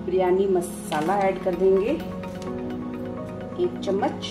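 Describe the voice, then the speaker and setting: Female cooking host, mid-pitched, methodical recipe voice-over in a roomy room with clear music behind. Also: takes it slowly. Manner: steady step-by-step instructions, addresses viewers as friends. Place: home kitchen. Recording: roomy kitchen sound with music.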